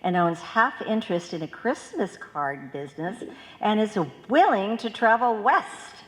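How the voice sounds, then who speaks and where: A woman's voice: medium pitch, expressive, a little slow, natural voice. A woman playing a scatterbrained, trusting wife in an old-time radio comedy, earnest and muddled. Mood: businesslike, helpful. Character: scatterbrained, trusting, chatty wife and card seller. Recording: echoey live hall.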